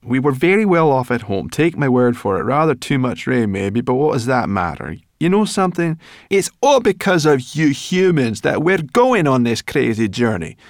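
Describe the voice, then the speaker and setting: A man's voice: medium pitch, lively intonation, normal speed, dry recording, clean studio sound, pitched above the performer's own voice, lightly acted. A man voicing a cross, grumbling female brownie creature in a storybook reading. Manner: grumbling, scolding.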